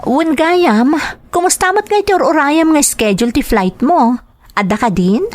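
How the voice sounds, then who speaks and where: A woman's voice: high voice, expressive, moderate pace. A woman with an Ilocano accent playing a helpful, assured job agency woman in an audio drama. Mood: friendly. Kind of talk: Ilocano radio-style drama acting.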